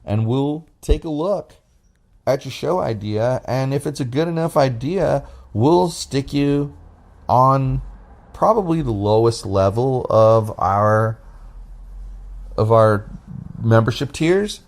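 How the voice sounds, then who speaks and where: A man hosting a casual podcast with his wife, talking in a deep, bassy voice and rambling loosely with restarts and fillers. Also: expressive, slow. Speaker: podcast host. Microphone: phone app recording.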